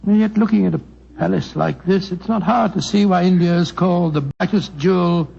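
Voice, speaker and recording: A blustering older English doctor, with a high, gravelly voice. He is good-natured, easily amazed and apt to repeat himself in a flustered way. It is a dull, muffled 1940s radio drama recording. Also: a little fast, dark tone. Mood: awed, flustered.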